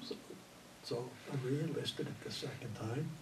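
Older male veteran interviewee, rambling storyteller, mic set back with steady background noise. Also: mid-range voice, unhurried. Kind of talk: oral history recollection. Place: indoor studio before green backdrop. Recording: distant mic, audible room noise.

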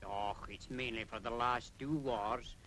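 A man's voice: mid-range voice, fairly even intonation, pushed and bright, a little hiss or hum. An actor playing an earnest Scottish man who expounds national duty in declamatory 1940s public information film style. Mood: explanatory. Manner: declamatory, deliberate. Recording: 1940s film soundtrack with faint music.

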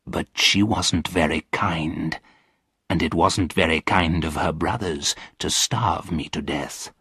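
A man voicing a lonely, sorrowful old ghost in a storybook, weary and solemn, with a husky voice. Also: low-pitched, sharp tone, dry recording, studio quality, voice pushed down, full character voice. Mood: solemn, matter-of-fact. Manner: slow, weary, solemn delivery.

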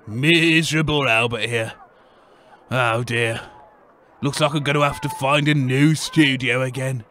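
A man's voice: medium pitch, bright, forceful tone. Miserable, resigned radio announcer played by a man. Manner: glum, resigned. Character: weary, gloomy male radio announcer. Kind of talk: comedy radio drama acting.

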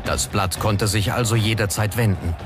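Low-voiced man narrating over music. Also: normal speed. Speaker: documentary narrator. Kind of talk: scripted documentary narration. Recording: music bed under the voice.

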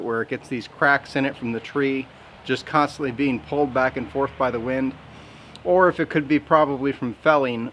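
A man talks outdoors beside his sawmill. His delivery is plainspoken and folksy as he explains his work to the camera at an ordinary, middle pitch. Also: a bit bright, a little hiss or hum. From Southern Indiana. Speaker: sawmill owner.

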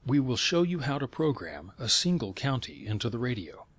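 A man reading scripted instructional narration in a measured, step-by-step way. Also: mid-range voice, very animated.

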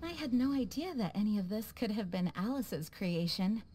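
A woman's voice: medium pitch, lively intonation, moderate pace, dark tone, a little hiss or hum, close to an everyday voice. A composed young woman knight from a video game, played by an actress. She has a mid-range voice and speaks with polite, poetic formality. Faint ambience sits behind her. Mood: wistful, gracious.

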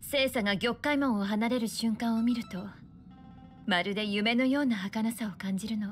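High-toned, poised navigator played by a woman. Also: normal speed, natural voice. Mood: wistful. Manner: poised, mature, conversational. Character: elegant, confident adult woman navigator, wistful and gently playful. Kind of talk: anime-style video game acting. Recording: faint music under the voice.

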